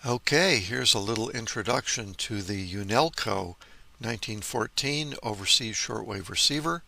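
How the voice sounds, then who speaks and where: A man's voice: fairly low pitch, moderate pace, vocal fry, sharp tone. An older man presenting as a radio hobbyist, giving an informal, methodical technical walkthrough in an explanatory way.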